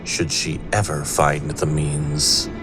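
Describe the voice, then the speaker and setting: Very deep, solemn fantasy narrator. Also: male voice, little pitch movement. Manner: measured, solemn, portentous. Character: solemn male storyteller of a fantasy tale. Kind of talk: dramatic scripted fantasy narration. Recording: prominent music under the voice.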